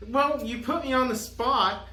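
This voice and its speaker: A man's voice: very high voice, unhurried, pushed and bright. A man co-hosting a podcast in casual banter, defending himself and telling dad jokes. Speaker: podcast co-host. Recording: echoey room with faint noise.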